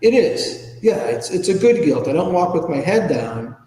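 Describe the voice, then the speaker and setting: A man's voice: sits high, sing-song, normal speed, dark tone. An American man giving candid, informal, reflective answers as a podcast guest. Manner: candid, informal, occasionally salty. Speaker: podcast guest, ethics trainer and public speaker. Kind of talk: reflective personal interview answers. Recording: echoey room with long reverb.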